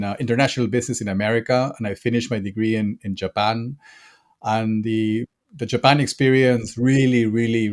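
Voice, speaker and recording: A man with a Peruvian accent, a podcast guest talking in a lively, playful way with many fillers. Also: fairly low pitch. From Peru. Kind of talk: casual interview chat.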